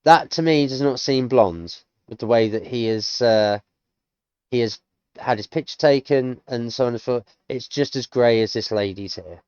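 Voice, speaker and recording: British man, 30s, casual hesitant self-study commentary; smooth tone, dry dull recording. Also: medium pitch, moderate pace, a little mellow, no echo. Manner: rambling, hesitant, full of restarts. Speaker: self-taught language learner streaming. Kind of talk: casual thinking-aloud commentary.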